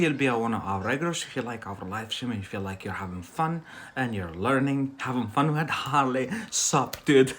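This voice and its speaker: A man's voice: medium pitch, a bit bright. A young man from Algeria, whose first language is Algerian Arabic, hosts an English-learning live stream in a casual, rambling way with many fillers and frequent laughs.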